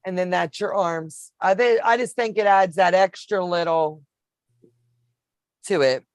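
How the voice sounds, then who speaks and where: A woman in her fifties hosting a sewing livestream, chatting warmly with viewers and explaining each step as she works. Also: lowish voice, a little slow, no echo, studio quality. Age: 50s. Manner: homey, calls viewers baby, narrates each step. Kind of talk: casual sewing demonstration chat.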